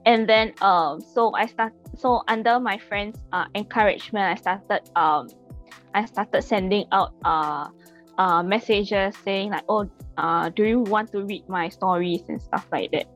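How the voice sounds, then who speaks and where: A woman's voice: medium pitch, measured pace. A woman in her late twenties from Singapore chats casually as a podcast guest, speaking in a hesitant, self-deprecating way. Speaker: podcast guest, amateur fiction writer. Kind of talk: casual chat. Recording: dull lo-fi audio with music.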